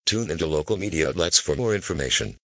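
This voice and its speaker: A very deep male alert voice with a husky, breathy edge, reading in a stiff, synthetic manner. Despite the low register it has a bright, forward tone and swoops widely in pitch. The sound is dry and close. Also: animated, quick pace, dry recording. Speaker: emergency alert announcer. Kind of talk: emergency bulletin reading.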